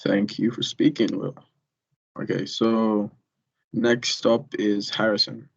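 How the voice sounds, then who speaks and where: A man with a low voice and heavy vocal fry hosts in a casual, unscripted way. He hands over between speakers with short, informal remarks. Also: level tone, slow pace, dull audio, a little hiss or hum. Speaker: host introducing candidates. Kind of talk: casual, off-the-cuff hosting.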